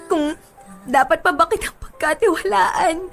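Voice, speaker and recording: An actress playing a hurt, betrayed young fiancee, emotional and reproachful, in a radio drama. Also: female voice, extremely high pitch, a little slow, vocal fry, soft background music, exaggerated voice.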